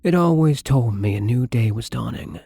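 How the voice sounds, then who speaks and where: A man playing a gentle, lonely, tormented vampire in an intimate ASMR roleplay, speaking softly and hesitantly in a breathy, brooding way, often near a whisper. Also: mid-range voice, lively intonation. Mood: tender, wistful. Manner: slow, hesitant, brooding, tender. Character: melancholy young male vampire, gentle, lonely, tormented, once human.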